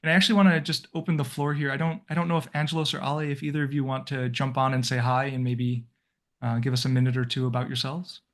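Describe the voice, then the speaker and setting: A man's voice: mid-range voice, level tone, fast talker. Male volunteer coordinator on a video call; casual, loose, lots of fillers, middle voice. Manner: friendly, rambling with fillers and restarts.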